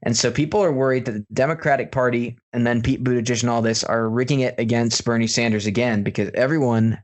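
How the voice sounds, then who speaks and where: A man's voice: mid-range voice, fairly even intonation, quick pace. Guy on a podcast explaining stuff step by step, casual, kinda hedging, normal mid voice. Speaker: podcast co-host.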